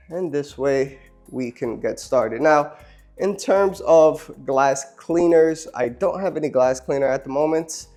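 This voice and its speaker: A man with a cold who sounds nasal, hosting a casual product demonstration beside a car and explaining things in a relaxed, chatty way with frequent ums and uhs. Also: mid-range voice. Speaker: host. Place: beside a car, cleaning its windshield.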